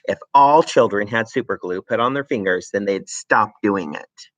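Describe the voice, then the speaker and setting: A man voicing a sharp-tongued, scolding middle-aged mother in a raised voice. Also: fairly low pitch, normal speed, no room sound, dull audio, close to an everyday voice. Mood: lecturing. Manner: scolding, prim.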